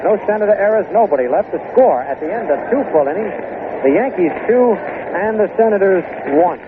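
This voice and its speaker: A man's voice: sits high, lively intonation, fairly quick. Tinny old-radio American baseball announcer, man. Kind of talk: 1960s radio sports commentary. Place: outdoor stadium broadcast booth. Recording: noisy, tinny 1960s AM radio broadcast.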